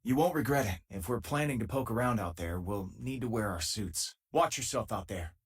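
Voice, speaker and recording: A man playing a loyal, easygoing former miner and spaceship crewmate in a video game, with a husky, casual, wry delivery. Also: lowish voice, lively intonation, normal speed. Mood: friendly, reflective. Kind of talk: video game companion dialogue.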